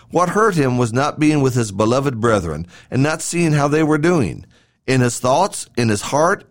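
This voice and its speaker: Animated man reading a devotional sermon. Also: fairly high pitch. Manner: earnest, emphatic, with rhetorical questions and repeated phrases. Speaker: podcast host and preacher. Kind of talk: devotional sermon read from a script.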